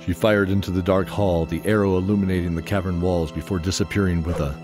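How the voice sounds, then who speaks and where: A man's voice: deep voice, steady tone, quick pace. A man giving scripted narration for a fantasy audio drama. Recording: music under the voice. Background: music.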